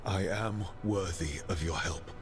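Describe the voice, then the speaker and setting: An actor playing an earnest, determined heroic leader in a fantasy video game, sincere and resolute. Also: male voice, low-pitched, a little slow, soft background music.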